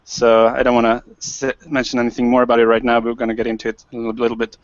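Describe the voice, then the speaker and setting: A man's voice: mid-range voice, completely flat delivery, racing, no room sound. A man from Sweden speaks on a video call in a level, unvaried tone, the sound dry and close. The audio is dull and lo-fi, like an online call. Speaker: online marketer, panel host. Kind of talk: casual video call panel talk.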